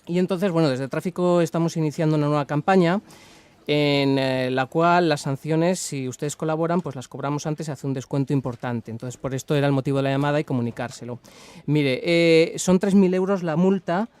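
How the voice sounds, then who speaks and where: A man's voice: on the high side, brisk, darkish tone, smooth, natural voice. A man playing a polite, apologetic traffic official on a prank call, with an accent from Spain and a hesitant, formal manner. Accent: Spanish from Spain. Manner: hesitant, courteous, official-sounding. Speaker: radio prank caller. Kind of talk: improvised prank phone call in a formal register.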